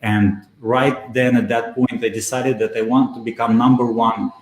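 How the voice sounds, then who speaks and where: A man's voice: medium pitch, level tone. Man explaining in a roomy echo. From Belarus. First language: Russian. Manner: measured, with frequent "uh" pauses. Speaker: guest, SEO consultant. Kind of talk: explanatory interview answers.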